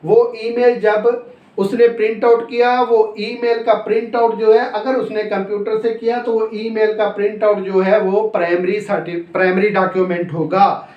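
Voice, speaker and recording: A man's voice: high-pitched, little pitch movement, normal speed, dull audio. A man with a Pakistani accent giving a law lecture, explaining step by step, repeating points, asking and answering his own questions and mixing in English legal terms. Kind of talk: classroom lecture, explaining and dictating notes. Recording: echoey, roomy sound.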